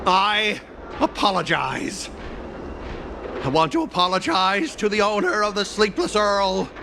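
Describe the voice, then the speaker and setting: Petty villain for a game: boastful sore loser, slow melodramatic speeches, gravelly edge, shrill shouted outbursts. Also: male voice, big pitch swings, noisy background, exaggerated voice. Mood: grudging. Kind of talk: video game voice acting, melodramatic villain monologue.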